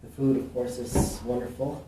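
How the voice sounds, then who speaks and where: A man's voice: medium pitch, moderate pace, noisy background. A man from Mexico answering interview questions, talking easily with lots of fillers and restarts. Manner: relaxed, rambling with many fillers and restarts. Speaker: realtor. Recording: roomy, echoey, mic at a distance.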